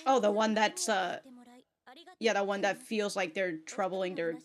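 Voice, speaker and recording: A woman's voice: medium pitch, steady tone, a little slow. A woman giving casual, sweary, giggly reaction commentary as she hosts a reaction video. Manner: giggly, often breaking into laughter.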